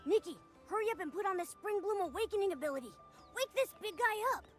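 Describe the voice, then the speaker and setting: A bossy, cheeky little talking pet companion from a video game. The voice sits high and swings up and down with lively energy, pushing along impatiently. A faint musical score plays underneath. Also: female voice, fast talker, faint music behind.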